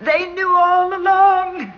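High, distraught drunken woman. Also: fairly even intonation, slow, slight background noise. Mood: defiant, hysterical. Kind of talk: old-time radio drama acting. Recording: dull old radio recording, crowd behind.

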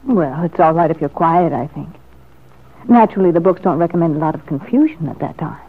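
An anxious but determined wife in a vintage radio soap. Her delivery is earnest and dramatic, with a slightly husky voice, and the old recording is thin and muffled. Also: deep voice, lively intonation, brisk, heavily acted. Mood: light, fond. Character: determined, anxious married woman longing for a baby. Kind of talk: 1940s radio soap opera acting.

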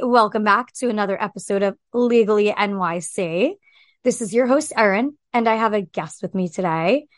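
A woman's voice: a little high, a bit bright, dry recording, clean studio sound. Upbeat woman podcast host. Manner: upbeat, chatty, frequent affirming murmurs. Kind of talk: casual interview chat.

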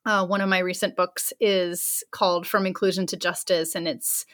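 A woman in her thirties, an anthropologist speaking as a guest in an interview, thoughtful and warm, with frequent soft laughs and fillers. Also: on the low side, little pitch movement, normal speed. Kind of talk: interview answers.

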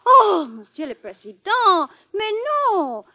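Super high french lady cook, eager and proud, really lively pitch, old radio drama. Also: takes it slowly, exaggerated voice. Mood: indignant. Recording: dull vintage radio recording.

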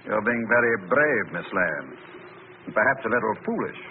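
An actor playing a suave, threatening crook with measured, coolly polite delivery in an old radio thriller. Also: male voice, lowish voice, sing-song. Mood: cold, threatening. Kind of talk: 1940s radio thriller acting. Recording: narrow, hissy old radio recording.

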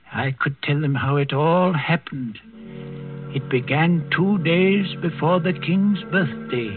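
An actor playing an elderly manservant, deferential yet quietly resentful, in a 1940s radio drama. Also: male voice, phone-quality sound. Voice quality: high, husky. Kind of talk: 1940s radio acting with narration. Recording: thin old radio recording, music underneath.

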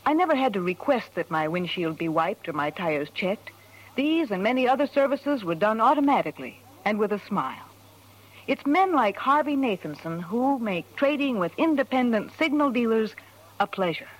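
A woman's voice: fairly high pitch, sing-song, moderate pace, low-quality recording. A woman playing a courteous customer, reading a testimonial formally and carefully in 1940s radio style. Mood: appreciative. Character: courteous female customer reading her letter.

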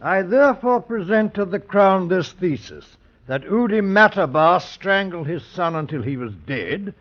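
High-pitched, pompous courtroom prosecutor. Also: male voice, expressive, a little slow, slight background noise. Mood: accusing. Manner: grandiloquent oratory. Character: florid, pompous male court prosecutor, theatrical and outraged. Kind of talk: 1950s radio drama acting. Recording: dull vintage radio broadcast.

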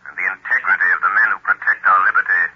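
An actor playing a grave, resolute crime fighter in a 1930s radio drama, speaking with husky, commanding authority. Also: male voice, sits low, fairly even intonation, very fast. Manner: authoritative, pressing, declamatory. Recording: dull vintage 1930s radio recording.

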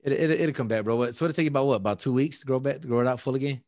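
A man's voice: mid-range voice, very fast, darkish tone, no room sound, clean studio sound. A man hosting a podcast, laughing and repeating phrases in crude casual banter. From Dominican heritage. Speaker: podcast host.

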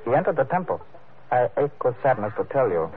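An actor playing a courteous, grave informant in an old radio adventure, speaking in formal, ornate, deliberate phrases. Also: male voice, medium pitch, big pitch swings, a crowd in the background, close to an everyday voice. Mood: regretful, warning. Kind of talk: old-time radio drama acting. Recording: noisy, narrow-band old radio recording.

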